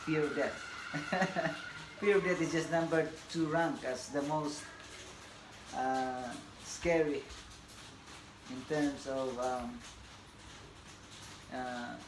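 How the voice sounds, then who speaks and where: A Filipino man in his forties, a tennis coach whose first language is Bisaya, introducing himself in a halting, casual way with many fillers and laughs. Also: on the high side, steady tone. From Philippines. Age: 40s. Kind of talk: casual self-introduction to camera. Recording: echoey room, audible background noise.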